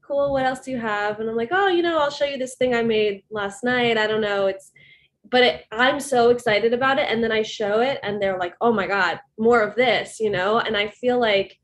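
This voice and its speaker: A woman who works as a music producer, talking earnestly and rambling on a video call panel, often acting out quoted conversations. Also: medium pitch.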